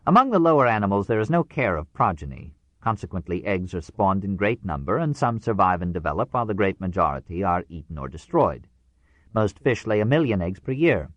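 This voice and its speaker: A man narrating a nonfiction audiobook in a measured, formal way with precise phrasing. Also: deep voice, a bit bright, no echo.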